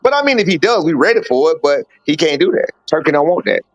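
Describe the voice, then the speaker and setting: A man's voice: a little high, sing-song, fast, no room sound, highs cut off. A man in his forties, a boxing coach, telling his life story in a candid, blunt way with slang and swearing in a casual podcast interview. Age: 40s. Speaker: boxing coach and father of a fighter.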